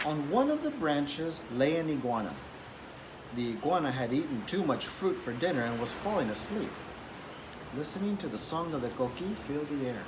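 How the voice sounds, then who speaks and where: Animated man reading a children's story. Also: on the high side, moderate pace. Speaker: story reader. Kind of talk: children's picture book read-aloud. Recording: clearly noisy background.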